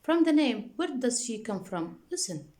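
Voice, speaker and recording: High, lively-voiced woman teacher. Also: normal speed. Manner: checks in often with "okay?" and "deal?", with frequent fillers. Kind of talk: classroom lesson instruction.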